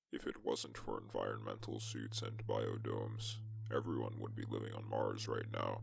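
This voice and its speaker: A man narrating as a lone astronaut explorer in a suspense science-fiction story, with a gravelly voice and measured, deliberate delivery. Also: rumbling low, exaggerated voice. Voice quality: gravelly, creaky. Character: lone male astronaut explorer recounting a tense exoplanet mission. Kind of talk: scripted first-person sci-fi story narration.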